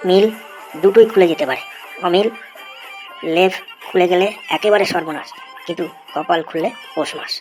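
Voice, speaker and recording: A woman's voice: on the low side, normal speed, pauses often, a little mellow, soft background music, lightly acted. A woman voicing an eager, cheeky, long-winded schoolboy in a comedy cartoon, speaking earnestly and respectfully. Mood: earnest reciting. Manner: deferential, earnest, rambling classroom recitation. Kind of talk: Bengali comedy cartoon voice acting, recitation.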